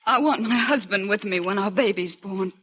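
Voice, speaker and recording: A woman's voice: medium pitch, moderate pace, pushed and bright, lightly acted. A woman playing a frail, genteel army officer's wife in a Western radio drama, earnest and polite. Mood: anxious. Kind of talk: old-time Western radio drama acting. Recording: noisy vintage radio recording.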